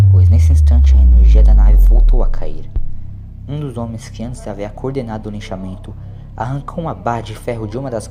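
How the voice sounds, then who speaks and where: A man reads a dramatic scripted story as an audiobook narrator. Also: on the low side. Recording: clear background noise, ambient sound.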